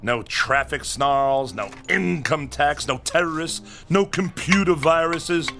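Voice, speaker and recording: An actor playing a pompous, exasperated scholar of the nineteenth century, with fussily formal, old-fashioned diction in a comic radio drama. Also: male voice, on the high side, slow pace, fairly bright tone, soft background music. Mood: pompous, wistful.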